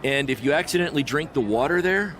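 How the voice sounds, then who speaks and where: A man's voice: a little high, lively intonation, fast talker, fairly bright tone. An actor playing a self-assured, flippant wrestling promoter with a glib salesman's patter in a video game cutscene. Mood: confident, teasing. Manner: glib, upbeat salesman-like delivery. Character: fast-talking, self-assured small-time male wrestling promoter, cheerfully flippant.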